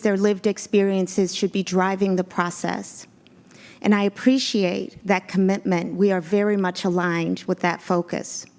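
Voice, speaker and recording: A woman whose first language is Spanish, giving a measured, earnest presentation to a school board as an executive search consultant. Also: mid-range voice, a little mellow. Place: board meeting room.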